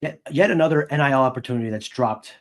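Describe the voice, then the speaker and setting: A man with a medium-pitched voice co-hosts a sports podcast. His commentary is casual and conversational, delivered in a measured way with a wry edge.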